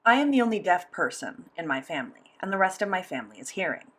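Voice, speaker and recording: A woman voicing a sign language interview as interpreter, speaking in a measured, steady first-person delivery. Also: on the low side, husky.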